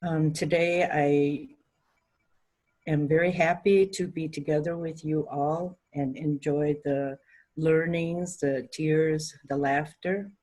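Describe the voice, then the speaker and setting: A woman talks very slowly and softly. She pauses often as she searches for her words. Her remarks are heartfelt. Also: sits low, steady tone. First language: Cantonese. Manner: halting, searching for words, soft. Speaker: ordination participant.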